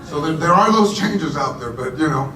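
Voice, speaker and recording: A man's voice: lively intonation, normal speed, pushed and bright. A man who grew up in Puerto Rico gives a conversational conference talk to a live audience, often repeating words and making chatty asides. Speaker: speaker. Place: conference room. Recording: roomy echo, voice from far off, faint rumble.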